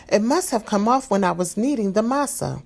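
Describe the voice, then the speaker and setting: A woman's voice: expressive, brisk, gravelly, a little mellow. A woman doing an anxious young girl. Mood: worried. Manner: high, cries out urgently.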